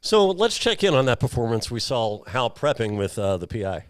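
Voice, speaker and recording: A man's voice: medium pitch, sing-song, moderate pace, slight background noise. A man hosting a show, recapping a film in a casual, chatty style. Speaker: podcast host.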